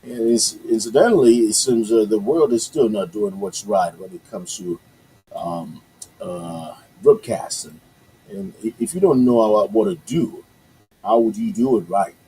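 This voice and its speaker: A man's voice: fairly low pitch, expressive, a little slow, warm tone, slight background noise, low-quality recording. A male radio host whose first language is Yoruba, chatting casually on a morning show and rambling with lots of fillers and restarts. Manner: rambling, many fillers and restarts, upbeat asides.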